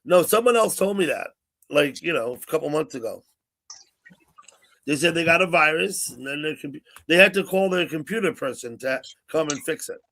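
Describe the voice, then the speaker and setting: A man's voice: on the high side, lively intonation, moderate pace, vocal fry, a bit bright, poor audio. A man in his sixties hosting a casual livestream call-in chat, rambling and repeating himself. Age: 60s. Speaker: livestream host.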